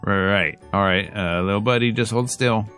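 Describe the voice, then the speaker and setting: Man reading as Shinichi, a low-voiced flustered young guy. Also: normal speed, fairly bright tone, faint music behind, lightly acted. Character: Shinichi, young man, flustered, wry visual-novel protagonist. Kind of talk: dramatic reading of visual novel narration and dialogue.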